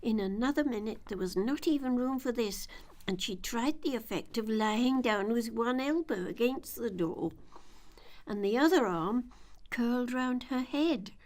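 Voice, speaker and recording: An older woman reading a children's story aloud in a measured, deliberate, expressive storytelling manner. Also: a little high. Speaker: children's audiobook reader.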